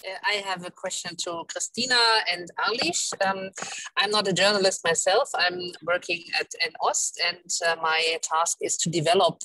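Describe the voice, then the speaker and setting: A woman from the audience asking a careful, halting question in a panel discussion. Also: on the low side, fairly even intonation, bright, forceful tone, rough recording. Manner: careful, halting, many uhs.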